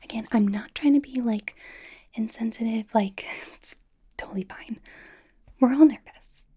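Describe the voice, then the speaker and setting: A woman playing a bubbly, nervous young K-pop idol in an ASMR roleplay, whispering softly in a chatty, rambling, reassuring way. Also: fairly high pitch, unhurried. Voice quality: soft, breathy whisper.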